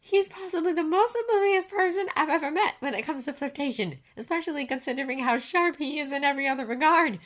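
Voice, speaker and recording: A woman playing a young blue-skinned female protege, very high voice, bright, gushing, teasing, animated audiobook character. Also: fast, few pauses. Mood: amused, teasing.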